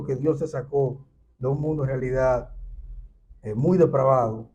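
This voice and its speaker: Dominican guy hosting a church testimony show, soft dark tone, kinda muffled audio. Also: medium pitch, a little hiss or hum. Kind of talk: scripture reading and interviewing. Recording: dull, muffled lo-fi sound.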